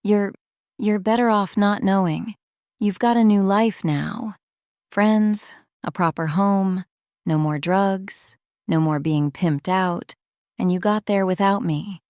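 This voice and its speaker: A woman playing a haunted, protective former street criminal in a drama, speaking in an earnest, halting, confessional way. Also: mid-range voice, darkish tone, clean tone, no echo, studio quality, close to an everyday voice. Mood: tender, resolute. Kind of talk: dramatic audio drama acting.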